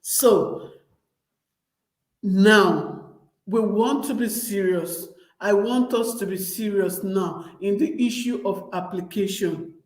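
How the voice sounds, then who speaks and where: A young Nigerian woman with a Nigerian accent speaks slowly and unhurriedly, at a middling pitch. Her talk is chatty and loose, full of repeats and restarts as she speaks right to her viewers. The sound carries a roomy echo. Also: darkish tone. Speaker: vlogger. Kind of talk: casual advice vlog.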